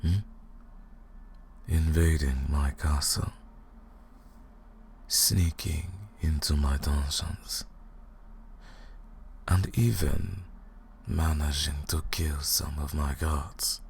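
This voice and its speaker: Ancient vampire lord asmr roleplay, super slow, gravelly and mocking, some noise in the background. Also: male voice, extremely low pitch, steady tone, a little mellow, heavily acted. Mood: mocking menace. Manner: slow, menacing, taunting, theatrical. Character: ancient male vampire lord, cold, mocking, condescending captor. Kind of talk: scripted ASMR roleplay monologue.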